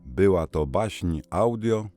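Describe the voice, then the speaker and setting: Deep, slow male credits announcer. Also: animated, mellow, faint music behind. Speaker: announcer reading audiobook credits. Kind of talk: scripted announcement.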